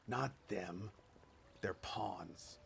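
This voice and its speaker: A man playing a gruff, drunken veteran warrior, haunted but eager to fight, in a video game. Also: lowish voice, extremely slow, slight background noise, full character voice. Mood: ominous warning. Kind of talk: dramatic video game dialogue.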